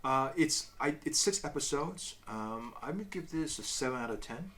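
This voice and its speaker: A man hosting a casual review chat, speaking haltingly and often repeating and correcting himself. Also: medium pitch, lively intonation, a bit bright, a little hiss or hum. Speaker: host of a review show.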